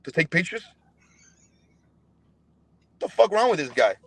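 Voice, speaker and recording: A man's voice: a little high, very animated, unhurried, a bit bright. A young man from Cuba talking casually as a fighter in an interview, emphatic and profane, with slangy repeated check-ins. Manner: emphatic, slangy, punctuated with "bro" and "you know what I'm saying". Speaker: professional fighter, podcast guest.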